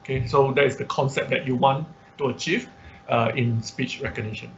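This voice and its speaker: A man who speaks as a gives an informal explanatory online lecture, halting and repeating himself, often checking in with short tag questions. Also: medium pitch, steady tone. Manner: frequent tag questions like "okay" and "right". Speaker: online class instructor. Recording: dull lo-fi online-class audio with noise.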